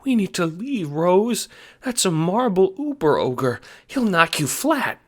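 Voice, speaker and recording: A small, anxious cat sidekick from an audio drama, acted by a man. The voice is pitched well up and wheezy, full of fear. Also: sing-song, slow pace, a bit bright, exaggerated voice. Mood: terrified. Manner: fearful, anxious. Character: frightened, wheezing cat companion with tentacles.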